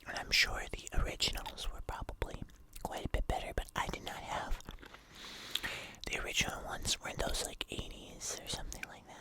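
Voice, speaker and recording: A fully whispered, breathy voice speaks close to the microphone in an ASMR style. The delivery is soft and unhurried, with gentle, casual asides as in a relaxed tasting video. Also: poor audio.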